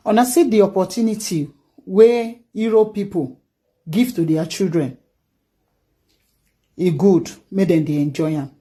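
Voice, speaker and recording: Nigerian-accented parent in a phone clip, middle pitch, lamenting and exasperated. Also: mellow. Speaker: parent living abroad. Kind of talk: social media clip monologue.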